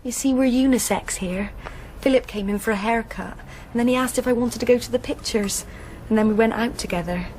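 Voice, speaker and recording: A woman acts out a plain-spoken young hairdresser in a radio drama, full of grief. Her voice is high and girlish, on a slightly noisy recording. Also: fairly quick, few pauses, darkish tone, close to an everyday voice. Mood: sad.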